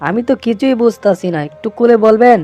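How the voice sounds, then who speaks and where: A woman's voice: a little high, fairly quick, dark tone, faint music behind, lightly acted. A woman voicing an earnest young male fox follower in a cartoon. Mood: puzzled. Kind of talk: cartoon voice acting.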